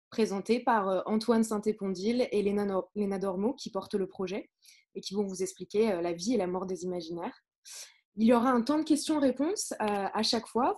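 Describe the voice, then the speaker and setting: A woman moderating and presenting at a live event, speaking a little haltingly. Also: medium pitch, darkish tone.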